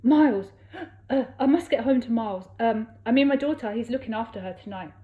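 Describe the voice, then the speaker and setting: A woman's voice: sits high, sing-song, moderate pace, phone-quality sound, voice pushed up. A woman reading a shy, flustered lonely woman.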